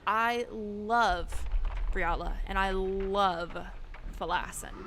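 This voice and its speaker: A woman's voice: medium pitch, drawn-out words, slight background noise. A woman giving casual, chatty game commentary, thinking aloud in an informal way as the host of her video. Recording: loud music behind voice.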